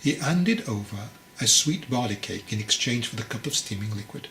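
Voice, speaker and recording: Guy reading a storybook out loud, middling pitch, some noise in the background. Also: expressive, moderate pace. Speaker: author reading own book. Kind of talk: scripted storybook narration.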